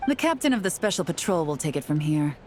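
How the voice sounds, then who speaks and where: A woman playing a composed, shrewd young captain in a video game, speaking in a cool, measured, businesslike way. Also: low-pitched, big pitch swings, very noisy. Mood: coolly assured.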